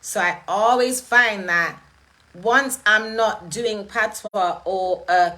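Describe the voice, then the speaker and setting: A woman's voice: medium pitch, takes it slowly, pushed and bright. A woman with a British accent hosting a live stream, talking casually to her chat and making her points with emphatic rhetorical questions. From United Kingdom.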